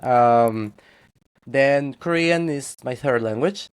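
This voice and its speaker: A man's voice: mid-range voice, slow, clean tone. A man, a guest chatting candidly in an interview, rambling with restarts and frequent laughs. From Guatemala. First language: Spanish. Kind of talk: casual interview chat.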